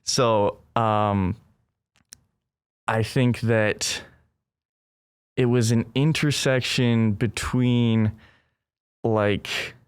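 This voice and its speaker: A man's voice: medium pitch, fairly even intonation, drawn-out words, pauses often, smooth, dry recording. A young man who grew up in Brazil, speaking as a guest in a casual interview, thoughtful and earnest, often adding "right?". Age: young adult.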